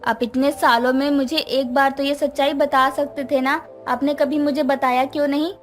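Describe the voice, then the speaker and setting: A long-suffering middle-aged wife, played by a woman in a narrated moral story. She is meek and self-sacrificing, with a high voice over music. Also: little pitch movement, normal speed, close to an everyday voice. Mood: hurt, pleading.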